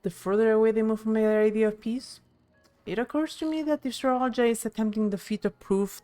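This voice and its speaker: A woman voicing a thoughtful, loyal young adventuring companion in a game, with expressive, reflective delivery. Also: medium pitch, natural voice. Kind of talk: voicing game cutscene dialogue.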